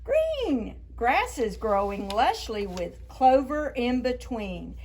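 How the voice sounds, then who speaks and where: A woman with a fairly high voice reads picture books aloud for preschoolers. She takes her time, speaking slowly and deliberately. Key words get stretched and stressed in an expressive storytime delivery. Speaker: children's librarian, storytime host.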